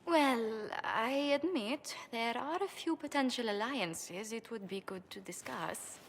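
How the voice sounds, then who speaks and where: An actress playing a poised, gracious noble diplomat in a game, speaking with polished formality. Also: female voice, fairly high pitch, expressive, slow, slight background noise. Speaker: ambassador and diplomat.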